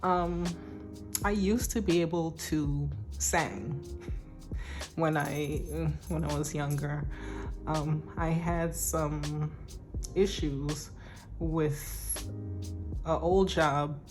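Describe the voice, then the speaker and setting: Woman, weak shaky voice, low pitch, slow hesitant casual chat, music behind. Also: fairly even intonation. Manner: slow and soft-spoken, with hesitant ums and light laughs. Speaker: budgeting vlogger.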